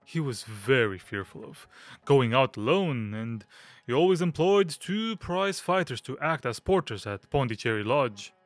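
Casting: male actor as fussy aesthete gentleman, stammering and nervous, mid-range voice, close dry sound, audio drama. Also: mid-range voice, sing-song, a little slow, no echo, soft background music. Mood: hesitant, recounting. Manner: hesitant, stammering, nervous giggles and throat-clearing.

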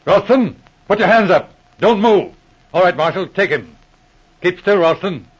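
An actor playing a shrewd, resolute military police inspector in a 1940s radio drama, with clipped, authoritative speech. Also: male voice, high voice, animated, measured pace, breathy edge, heavily acted. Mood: firm, commanding. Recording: muffled old radio recording.

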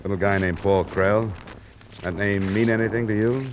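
A hard-boiled private eye , acted by a man, with a low American voice and a clipped, deadpan delivery. He sounds like golden-age radio drama, on a muffled old recording with some hiss. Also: normal speed, lightly acted. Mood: skeptical, probing. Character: hard-boiled, wry private insurance investigator. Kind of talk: 1940s-50s radio detective acting and narration.

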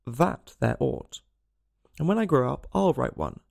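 Storybook girl, read by a man: wistful, puzzled, talking to herself, very animated, creaky. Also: medium pitch, unhurried, heavily acted. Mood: wistful musing. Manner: wistful, self-chiding.

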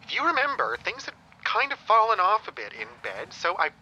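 Flamboyant, bright-voiced, dramatic ex-boyfriend. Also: male voice, high-pitched, wildly swooping pitch, a little fast, breathy edge, lo-fi sound, heavily acted. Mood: anxious, confessing. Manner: theatrical, gushing, rambling. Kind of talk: audio comedy-drama acting.

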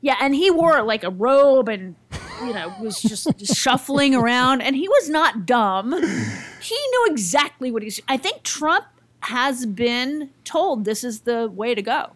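Higher-pitched woman in wry, blunt banter. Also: big pitch swings, unhurried, fairly bright tone, no room sound. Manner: blunt, wry, swears freely. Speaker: political talk show panelist. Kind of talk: casual chat, political banter.

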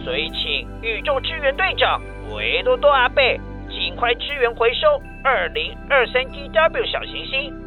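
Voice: medium pitch, very animated, normal speed, hardly any pauses, phone-quality sound, soft background music, close to an everyday voice. An actor playing an urgent space control dispatcher, calling over the radio in a children's radio play. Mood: briefing.

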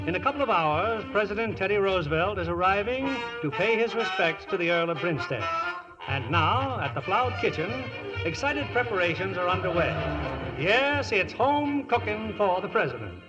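A man's voice: high-pitched, very animated, normal speed, dull audio, loud music behind the voice. An American man hosting and narrating a scripted 1950s radio show. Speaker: host and narrator. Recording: band-limited old radio broadcast.

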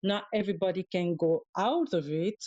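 A woman with a Dutch accent, Dutch her first language, calling in as a guest and speaking haltingly with many fillers and restarts. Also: fairly low pitch, warm tone, dry recording, highs cut off. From Europe. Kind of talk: casual talk on a live audio show. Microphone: calling in remotely.

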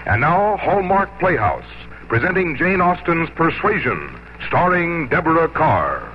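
A man's voice: on the high side, sing-song, measured pace. An American man announcing and reading sponsor messages on 1950s radio in a polished, formal style. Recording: muffled 1950s radio broadcast.

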